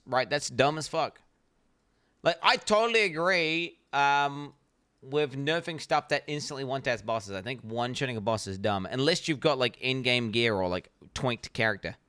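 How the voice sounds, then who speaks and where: A man's voice: medium pitch, sharp tone, no echo, studio quality. A man with a New Zealand accent reacting on a stream, talking bluntly and profanely, rambling with frequent restarts. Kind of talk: casual reaction stream commentary.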